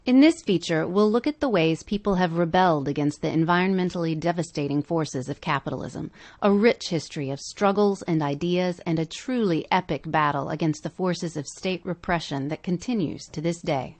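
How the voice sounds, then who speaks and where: A woman's voice: on the low side, normal speed, few pauses, a little mellow. A woman reading scripted narration as a podcast host.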